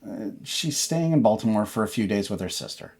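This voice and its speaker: A man's voice: fairly low pitch, animated, brisk, darkish tone, breathy edge. Worried dad, acted by a man, stern but loving, hesitant and parental, for a tabletop horror game. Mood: placating.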